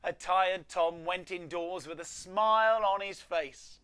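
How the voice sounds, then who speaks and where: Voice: mid-range voice, fairly bright tone, clear, resonant tone. Theatrical children's storyteller reading rhymes. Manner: theatrical, with dramatic pauses and emphasis. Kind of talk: expressive rhyming storybook read-aloud for children. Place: at home.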